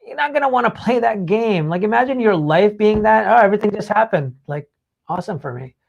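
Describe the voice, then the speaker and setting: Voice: mid-range voice, animated, fairly quick, creaky, lo-fi sound. A podcast host talking casually and emphatically in a rambling way, swearing freely while explaining ideas. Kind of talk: casual talk explaining book takeaways.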